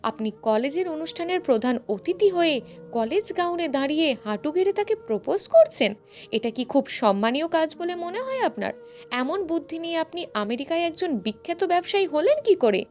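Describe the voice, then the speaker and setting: A woman's voice: extremely high pitch, big pitch swings, moderate pace, pitched above the performer's own voice. A woman voicing a fiery, outspoken young female student in a story, indignant and scolding. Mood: angry. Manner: sharp, scolding.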